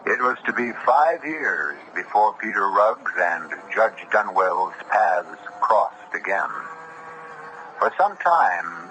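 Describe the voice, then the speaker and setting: A man hosting and narrating a radio mystery drama in a measured, solemn, theatrical manner. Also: low-pitched. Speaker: narrator and host. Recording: noisy vintage radio broadcast.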